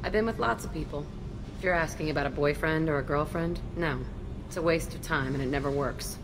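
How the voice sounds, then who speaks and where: A defiant young woman with a prison past for a game. Her voice is lowish, curt and sardonic, with loud ambient noise behind it. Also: fairly quick. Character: tough, guarded young female ex-convict, cynical, defiant. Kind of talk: video game character acting.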